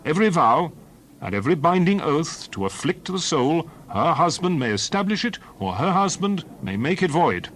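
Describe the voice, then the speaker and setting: A stern biblical leader laying down law. His voice is fairly high and very animated, with emphatic, authoritative delivery and faint background noise. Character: Moses, stern authoritative male leader and lawgiver. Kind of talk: dramatized scripture reading.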